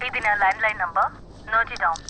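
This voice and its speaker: A woman's voice: medium pitch, a little fast, sharp tone, a little hiss or hum, lightly acted, low-quality recording. An actress playing a stern, bossy female police investigating officer with a clipped, commanding manner that mixes in English phrases. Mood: stern, instructing. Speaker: actor in a dubbed film. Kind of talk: dramatic film dialogue. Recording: music behind the voice.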